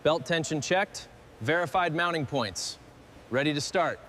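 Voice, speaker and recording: High-voiced man giving clipped shop orders. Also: expressive, measured pace, a bit bright, a little hiss or hum. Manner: terse, clipped instructions. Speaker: restoration worker. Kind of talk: scripted workshop dialogue.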